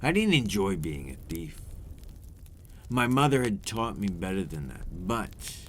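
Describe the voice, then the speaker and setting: A man playing a weary, remorseful vampire captor in an intimate ASMR roleplay, confessing his past in measured, formal words. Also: sits low, big pitch swings, slight background noise. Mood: somber, resigned.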